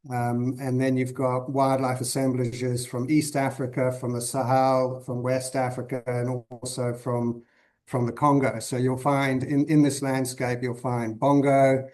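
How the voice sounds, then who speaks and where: A man in his fifties from Zimbabwe, a guest on a podcast interview, answering thoughtfully with many pauses and restarts. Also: mid-range voice, flat delivery, darkish tone. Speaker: guest conservationist.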